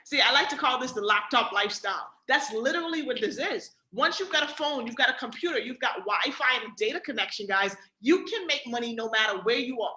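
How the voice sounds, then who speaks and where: Bright Bahamian woman pitching on a video call. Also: fairly quick, hardly any pauses, echoey room. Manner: energetic, repeats "right?" and "guys". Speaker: webinar presenter. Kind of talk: motivational sales pitch.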